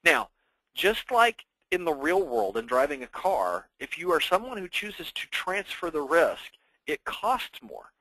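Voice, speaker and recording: A man's voice: on the high side, sing-song, a little slow, pushed and bright, no echo, poor audio. A man presenting to investors as a financial planner, explaining things informally and conversationally with everyday examples.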